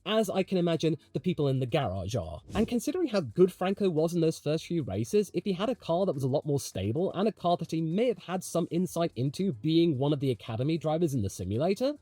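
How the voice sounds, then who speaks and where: A man's voice: on the high side, very animated, fast talker, hardly any pauses, warm tone, no room sound. A man hosting a motorsport video, giving opinionated commentary in a conversational, emphatic way. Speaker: host of a motorsport commentary channel.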